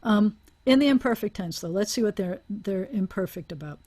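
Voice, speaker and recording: A woman's voice: medium pitch, animated, normal speed, a little mellow. A woman teaching a language class over a video call, explaining aloud with restarts and small laughs. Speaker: Hebrew teacher.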